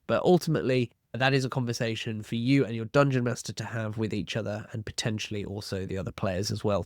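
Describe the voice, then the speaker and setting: Guy hosting a roleplaying advice vid, medium pitch, chatty and reflective, really dry sound no echo. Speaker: host of a tabletop roleplaying advice channel. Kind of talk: explanatory video talk to viewers, posing questions.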